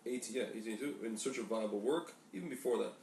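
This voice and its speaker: A man's voice: mid-range voice, very fast, darkish tone. A man co-hosting a casual talk show, reading aloud from documents and often stumbling and correcting himself. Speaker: podcast co-host. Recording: echoey room, distant mic, faint noise.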